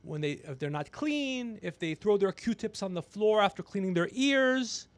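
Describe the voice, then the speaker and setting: A man's voice: high voice, expressive, normal speed, no echo. A man in his fifties chatting casually on a livestream as host, brash and ranting, swearing freely. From Persian background. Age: 50s. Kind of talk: casual profane livestream chat.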